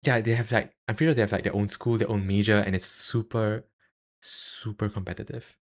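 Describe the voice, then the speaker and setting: A young man with a Singaporean accent chatting casually on a livestream, halting and self-deprecating, speaking into a cheap USB microphone. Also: lowish voice, steady tone. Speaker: YouTuber and live streamer.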